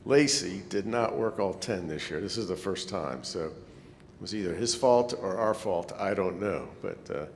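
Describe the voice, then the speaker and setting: A man's voice: animated, normal speed. Man presenting in an echoey hall. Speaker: club presenter. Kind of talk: informal club presentation. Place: meeting hall. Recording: echoey hall, rumbling background noise.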